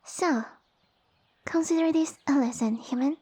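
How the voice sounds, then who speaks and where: A woman playing a sassy, tiny snake-girl noble with pompous, formal, commanding speech and a soft breathy voice, in an ASMR roleplay. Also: sits high, unhurried. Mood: flustered, indignant. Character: haughty, sassy young lamia noblewoman, tiny monster girl. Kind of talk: ASMR roleplay audio drama.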